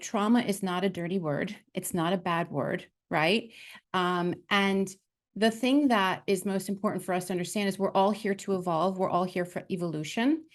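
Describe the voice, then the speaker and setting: A woman hosting a podcast, explaining her view thoughtfully and affirmingly, often checking in with "right?". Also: mid-range voice. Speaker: podcast host. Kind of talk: conversational podcast commentary.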